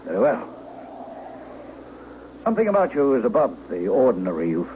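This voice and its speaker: An actor playing a menacing, commanding duke in an old-time radio drama, with imperious, formal archaic speech. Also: male voice, medium pitch, huge pitch swings, moderate pace. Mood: coolly bargaining. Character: menacing, commanding English duke intimidating a peasant boy. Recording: dull, noisy vintage radio broadcast.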